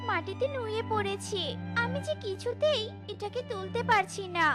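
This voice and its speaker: Tiny earnest cartoon bird girl, super high, music behind. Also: normal speed. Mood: grateful. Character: small bright female tailorbird.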